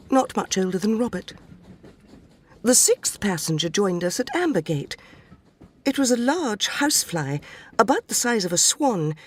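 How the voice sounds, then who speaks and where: A woman reading a dramatic story as a prim, tearful, naive English housewife from the 1940s, genteel, wistful and earnest, with an English accent. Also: mid-range voice. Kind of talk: dramatic first-person short story reading.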